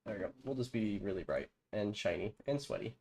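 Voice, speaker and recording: A man's voice: fairly low pitch, fairly quick, vocal fry. A man with a stuffy, congested nose, casually talking through a football video game on a live stream, rambling with plenty of fillers. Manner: relaxed, rambling, many fillers. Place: home streaming setup with green screen. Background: background music.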